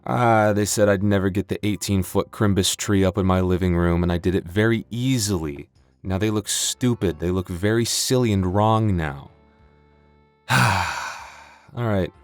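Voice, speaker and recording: A man's voice: low-pitched, unhurried, no echo, faint music behind. A man chatting casually and comically to the listener as an audio creator, rambling playfully with mock bragging and self-interruptions. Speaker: audio roleplay creator and performer. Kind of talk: casual comedic outro chat addressed to the listener.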